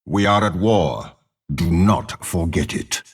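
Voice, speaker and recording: Very deep, gravelly demonic warlord. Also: male voice, lively intonation, exaggerated voice. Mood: imperious. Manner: slow, measured, menacing, haughty. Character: ruthless, imperious older male warlord bound to a demon. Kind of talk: dramatic video game character voice lines.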